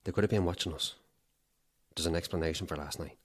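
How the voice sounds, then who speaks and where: A tense Irish husband in a horror audio drama. His voice is deep, and his phrases are short and commanding. He sounds insistent and gripped by fear. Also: fast, slightly rough. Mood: grimly determined. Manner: terse, commanding, clipped phrases.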